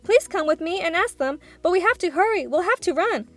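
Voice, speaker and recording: Woman putting on a high, urgent, passionate little schoolgirl. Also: animated, brisk, a bit bright. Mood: eager, urgent. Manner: urgent exclamations and pleading. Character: passionate, pleading, headstrong small schoolgirl.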